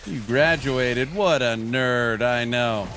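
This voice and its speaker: A man's voice: mid-range voice, slow pace, a bit bright, a little hiss or hum. Jokey late-30s male game streamer. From California. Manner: jokey, reads viewer chat aloud and banters. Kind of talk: casual livestream chat while gaming.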